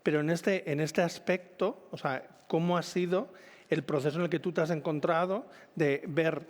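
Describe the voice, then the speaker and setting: A man's voice: on the high side, steady tone, moderate pace. A man with a Spanish accent from Spain hosts an informal interview, rambling and correcting himself as he goes. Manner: rambling, enthusiastic, self-correcting. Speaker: event presenter and interviewer. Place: indoor launch event venue. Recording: reverberant event hall.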